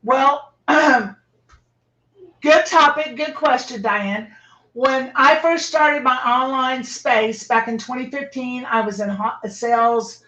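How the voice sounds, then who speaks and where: A woman's voice: sits high, slow, sharp tone, echoey room, lo-fi sound. A woman in her fifties, a branding coach speaking as a guest, with an eager, salesy way of talking. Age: 50s. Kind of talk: conversational promotional talk.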